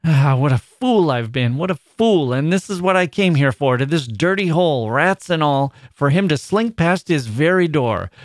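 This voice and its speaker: A man's voice: on the high side, normal speed, few pauses, no echo, studio quality, pitched above the performer's own voice, close to an everyday voice. A man voicing a weary, irritable, bitter working-class mother in an audiobook, scornful and using dialect turns of phrase. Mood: bitter despair. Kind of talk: literary audiobook character voice.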